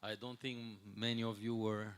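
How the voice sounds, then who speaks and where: Hotel executive, male, 60s, non-native English, slightly deep voice, speaks off the cuff at a conference, searching for words. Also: level tone, slight background noise. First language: not English. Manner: halting, searching for words, with repeated words. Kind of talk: unscripted conference talk.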